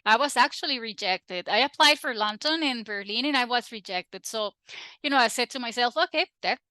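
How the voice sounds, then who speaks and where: Bright-voiced woman, chatty. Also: on the high side, brisk, dry recording. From Costa Rica. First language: Spanish. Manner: chatty and animated, frequent restarts and laughs. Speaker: guest. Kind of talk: casual interview chat.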